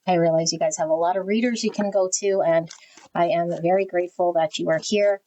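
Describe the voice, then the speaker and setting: A woman giving a tarot reading to her viewers, talking frankly and often tagging "okay?" onto her sentences, with her voice swinging high and low. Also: fairly even intonation, a little fast, warm tone, low-quality recording. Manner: pitch swings high and low as she talks. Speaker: tarot reader. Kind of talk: casual direct-to-camera tarot reading.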